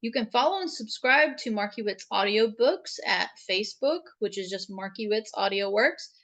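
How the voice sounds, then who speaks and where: A woman's voice: medium pitch, animated, normal speed, fairly bright tone. Chatty woman co-hosting a livestream. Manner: chatty, informal, playful asides. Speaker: co-host. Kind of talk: casual livestream introduction, reading a bio aloud.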